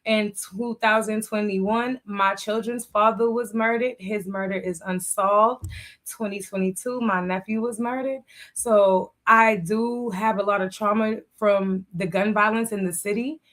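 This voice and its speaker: A woman's voice: mid-range voice, steady tone, a little slow, fairly bright tone. A middle-aged woman from Boston speaking as a guest in a candid interview, plainspoken and restating her points. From Boston, USA. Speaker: talk show guest. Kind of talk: candid personal interview talk.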